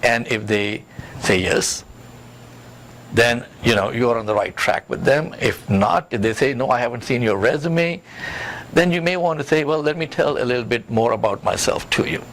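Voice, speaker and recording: A man's voice: mid-range voice, normal speed, fairly bright tone. A man giving career advice in an interview as a coach, with an instructive, emphatic manner that repeats key points. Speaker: career coach and job search expert. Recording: clearly noisy background.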